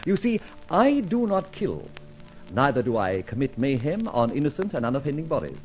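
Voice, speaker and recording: An actor playing a suave, indignant British gentleman thief in an old-time radio detective serial, with articulate, flippant delivery. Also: medium pitch, big pitch swings, normal speed, highs cut off, faint music behind, close to an everyday voice. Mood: wry narrating. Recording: noisy old radio recording.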